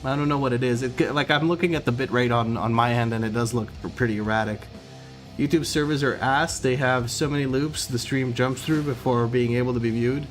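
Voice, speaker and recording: A man's voice: medium pitch, brisk, soft background music. A man from Croatia who sounds American, chatting casually with his stream chat while gaming and reading out messages. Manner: relaxed, rambling, reads chat aloud, stumbles and restarts.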